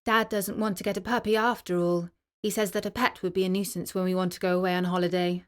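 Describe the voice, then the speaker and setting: A woman's voice: fairly low pitch, flat delivery, quick pace, close to an everyday voice. A woman voicing a sweet, apologetic mother in a children's storybook reading, coaxing and affectionate.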